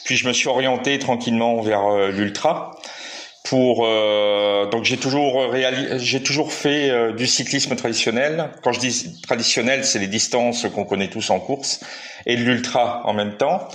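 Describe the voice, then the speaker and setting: A man's voice: medium pitch, unhurried, a bit bright. A man in his forties from eastern France, a cycling coach speaking as a guest, hesitant with many fillers and self-corrections. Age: 40s. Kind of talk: casual interview.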